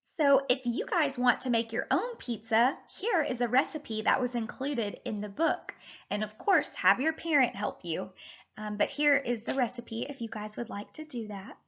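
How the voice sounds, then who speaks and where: A woman in her thirties, a teacher reading and talking to children in an animated, deliberate way. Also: on the high side, vocal fry, breathy edge, muffled recording. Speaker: teacher reading a picture book aloud to children. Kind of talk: expressive children's read-aloud and lesson.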